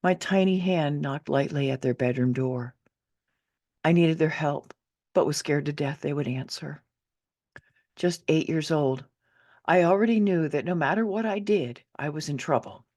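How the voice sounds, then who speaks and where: Female author-narrator, fifties, low register, theatrical and emphatic first-person storytelling. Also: normal speed, darkish tone. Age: 50s. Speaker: author reading her own memoir.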